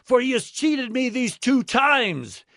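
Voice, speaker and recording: A man's voice: very high voice, big pitch swings, slow pace, gravelly, a bit bright, dry recording, clean studio sound, voice pushed up, exaggerated voice. A man voicing a wronged, bitter adult son with emotional pleading in a dramatised scripture reading.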